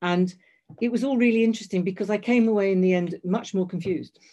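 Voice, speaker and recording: A woman's voice: mid-range voice, fast, mellow. An older woman telling a long personal story in conversation, hesitant, restarting and correcting herself often. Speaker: guest.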